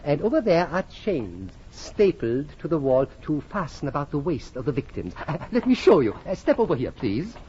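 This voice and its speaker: A man's voice: fairly high pitch, big pitch swings, normal speed, few pauses, warm tone. An actor playing a vengeful nobleman in an old-time radio horror drama, coaxing and repeating himself with falsely solicitous, gloating menace. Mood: sly, coaxing. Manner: coaxing, repetitive, chuckling menace. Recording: muffled old radio recording, faint noise.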